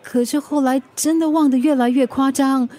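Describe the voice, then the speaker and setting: A woman's voice: high-pitched, fairly even intonation, normal speed, mellow, natural voice. A woman with a Singaporean accent acting a forgetful, playful delivery rider in a radio drama, cutesy and coaxing. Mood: worried.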